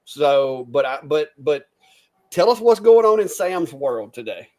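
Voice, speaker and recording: A man's voice: fairly high pitch, sing-song, unhurried, a little mellow. A middle-aged man hosting a live stream, chatty and easygoing, with frequent restarts. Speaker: host. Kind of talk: casual live-stream chat.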